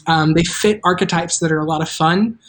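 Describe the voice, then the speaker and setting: A show creator hosting a live stream, talking casually and haltingly about making the show, with offhand asides. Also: mid-range voice, a bit bright. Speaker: show creator and table read host.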